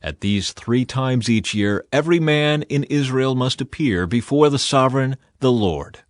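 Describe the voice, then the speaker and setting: A man's voice: mid-range voice, normal speed, no room sound. Dry-sounding male scripture narrator. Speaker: audiobook Bible reader.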